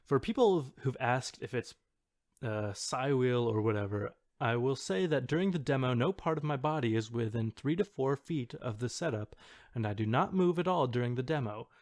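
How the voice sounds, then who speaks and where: A man acts out an earnest forum poster who is sure he has a secret power. He states his claims in a matter-of-fact, insistent way at a middling male pitch. The recording is close and dry, like a podcast reading. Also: normal speed, a little mellow, no echo, natural voice. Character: self-assured forum poster boasting of telekinetic powers. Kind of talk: dramatic reading of an online post.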